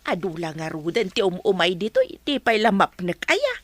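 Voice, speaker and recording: A woman's voice: medium pitch, wildly swooping pitch, moderate pace, no room sound. A Filipino woman playing an inquisitive, grumbling resort worker in a comedy radio drama. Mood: curious, grumbling.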